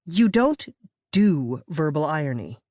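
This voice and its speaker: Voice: medium pitch, sing-song, takes it slowly, darkish tone, no room sound, studio quality. A narrator voicing a bookish, easily frustrated preteen girl with a theatrical, exasperated manner in an audiobook. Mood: annoyed. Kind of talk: acted character dialogue in a children's audiobook.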